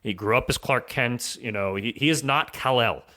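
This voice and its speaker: A man's voice: mid-range voice, moderate pace, bright, forceful tone. A man speaking as a podcast guest, giving conversational analysis in an explanatory, self-rephrasing way. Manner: explanatory, often rephrasing with "you know".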